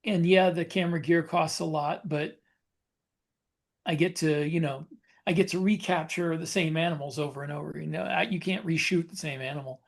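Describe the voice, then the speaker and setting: Higher-voiced man chatting casually. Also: steady tone, moderate pace, vocal fry. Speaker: podcast co-host. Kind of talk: casual chat, personal anecdotes.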